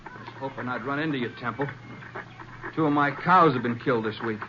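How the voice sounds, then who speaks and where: An actor playing a hot-tempered, defensive young rancher in an old radio western, with agitated, stammering protests. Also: male voice, medium pitch, brisk, background noise, close to an everyday voice. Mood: worried, defensive. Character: defensive, hot-tempered young rancher in his twenties, pushed too far. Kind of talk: 1950s radio western acting. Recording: dull vintage 1950s radio recording.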